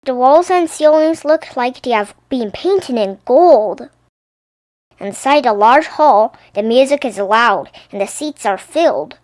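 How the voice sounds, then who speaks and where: A woman narrating a children's story in an animated, theatrical read-aloud style. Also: a little high, a little slow, no echo. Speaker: storybook reader.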